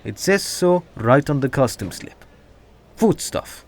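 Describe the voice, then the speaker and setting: A man's voice: medium pitch, very animated, slow, a little hiss or hum. A man acting as a haughty, slippery smuggler in an audio drama, smug and placating with a raised voice. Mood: indignant, smug.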